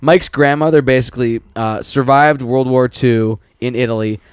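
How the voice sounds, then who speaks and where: A man's voice: mid-range voice, steady tone, clear, resonant tone. A young man co-hosting a comedy podcast, chatting casually and teasing his friends. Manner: joking, teasing, quick to do voices. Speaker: podcast co-host and columnist.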